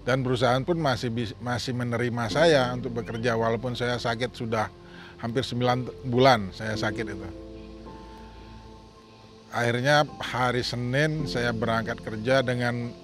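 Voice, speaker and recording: A man's voice: mid-range voice, steady tone, moderate pace. Man, 50s, from Sumatra, earnest personal testimony, plain-spoken, faint background music. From Sumatra, Indonesia. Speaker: testimonial speaker.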